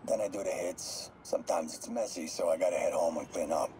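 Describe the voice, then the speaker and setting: A man's voice: deep voice, brisk, background noise. An actor playing a cold, guarded mob enforcer in a game, talking matter-of-factly as if to his therapist on a recording. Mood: casually matter-of-fact.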